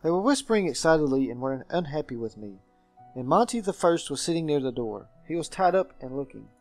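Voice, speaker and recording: Man reading as a melancholy, haunted gentleman guardian. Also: on the high side, big pitch swings, fairly quick, faint music behind. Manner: formal, brooding storytelling.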